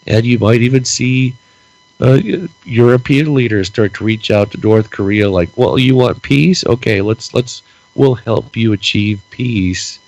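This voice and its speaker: A man's voice: mid-range voice, a little slow, a little mellow, rough recording. A man co-hosting a casual political podcast, ranting with lots of repeated words while losing his voice and stuffy-nosed. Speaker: podcast co-host.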